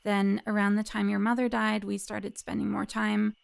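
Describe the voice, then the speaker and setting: Woman as an earnest, creaky-voiced female captive. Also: medium pitch, monotone, moderate pace, no room sound. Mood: guarded. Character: earnest, pleading female captive, hero's godmother. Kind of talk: cinematic audio roleplay acting.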